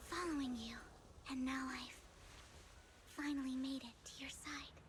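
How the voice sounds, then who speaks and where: A woman voicing a gentle, devoted young woman with a sorrowful past in a video game, speaking in a soft, breathy, delicate way. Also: high voice, fairly even intonation, measured pace, a little hiss or hum. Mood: earnest, excited. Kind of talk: anime-style video game voice acting. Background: background music.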